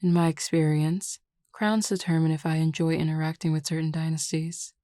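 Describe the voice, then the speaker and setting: A woman playing a confident, reassuring werewolf pack beta in a scripted audio roleplay, speaking in a measured, explanatory way. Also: low voice, level tone, dark tone, dry recording, studio quality, lightly acted. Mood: composed, explanatory.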